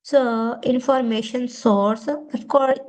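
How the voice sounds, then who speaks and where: A woman with a Pakistani accent, a native Urdu speaker, giving an informal step-by-step tutorial in a halting, repetitive way with frequent okays. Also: on the high side, fairly even intonation, a little mellow. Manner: halting, repetitive, often says okay. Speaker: tutorial host.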